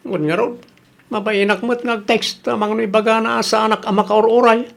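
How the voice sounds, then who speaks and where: A man's voice: high-pitched, fairly even intonation, a little fast, vocal fry. A man with an Ilocano accent playing an earnest, worried jeepney driver who defers to his boss in a comedy radio drama. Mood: worried, puzzled.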